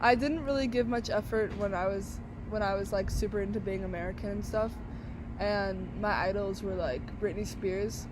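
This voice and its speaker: A woman's voice: mid-range voice, steady tone, normal speed. A young woman from Brazil chatting in an interview, full of "like" and restarts. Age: young adult. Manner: chatty, many fillers and restarts. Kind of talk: casual interview outdoors. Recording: outdoor street noise.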